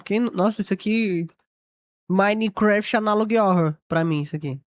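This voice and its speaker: A woman's voice: medium pitch, moderate pace, dry recording. A woman with a Brazilian accent giving informal, slangy reaction commentary as host of a video. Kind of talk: casual spoken reaction commentary.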